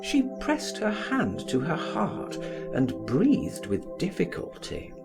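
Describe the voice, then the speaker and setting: A man reading a literary audiobook aloud in a theatrical, deliberate, expressive style. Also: on the high side, gravelly, loud music behind the voice. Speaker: audiobook reader.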